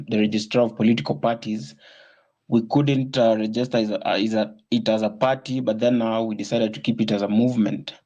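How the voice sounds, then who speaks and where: A man in his twenties from Kenya, a guest on a political talk show, speaking earnestly and a little haltingly. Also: fairly low pitch, dark tone, dull audio. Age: 20s. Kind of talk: casual interview talk.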